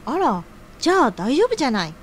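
Actress as a high, lively, teasing woman. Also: a little fast, a little hiss or hum. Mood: sisterly, concerned. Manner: coquettish, feminine phrasing. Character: sisterly, teasing, encouraging senior female friend. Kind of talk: audio drama dialogue.

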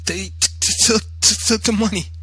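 A man's voice: fairly high pitch, slow pace, sharp tone, slightly rough, dry recording, faint music behind, voice pushed up, exaggerated voice. A man voicing a terrified young boy in an audiobook, pleading in gasps and stammers. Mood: sobbing, stammering. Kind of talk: dramatized audiobook narration.

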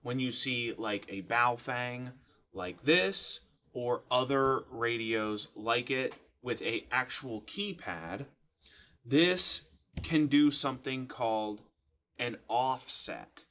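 A man's voice: medium pitch, slow pace, pushed and bright. A man in his thirties with an American accent, giving a casual, plain-spoken explanation to camera as the host of a how-to channel. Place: small furnished room at home, indoors.

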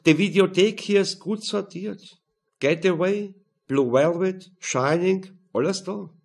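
A man voicing a calm, fat police detective in an audiobook, explaining evidence matter-of-factly. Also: sits high, slow pace, creaky, husky, voice pushed up, heavily acted.

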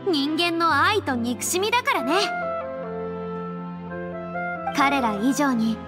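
A woman's voice: sits high, very animated, moderate pace, in a large hall, over music. A woman voicing a proud, theatrical young woman with self-assured phrasing in an anime game. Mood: confident. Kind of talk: Japanese anime game voice acting.

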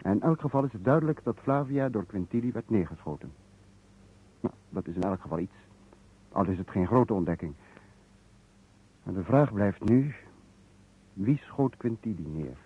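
An actor playing an earnest, methodical police investigator in an old radio drama, deliberate and matter-of-fact. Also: male voice, lowish voice, lively intonation, breathy edge. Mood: explanatory, earnest. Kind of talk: old Dutch radio detective drama acting. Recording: muffled, narrow-band vintage radio recording.

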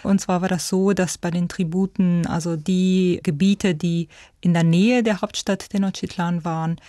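Woman, academic interviewee, soft-edged mellow timbre, dry recording, unscripted explanation. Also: on the low side, fairly even intonation, normal speed, dry recording. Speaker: ethnologist, museum director, interviewed expert. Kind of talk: spontaneous expert explanation in an interview.

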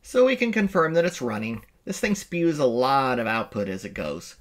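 Relaxed man explaining code. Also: medium pitch, normal speed. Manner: explanatory, self-correcting, relaxed. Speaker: instructor. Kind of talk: screen-recorded coding lecture. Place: home office with bookshelves.

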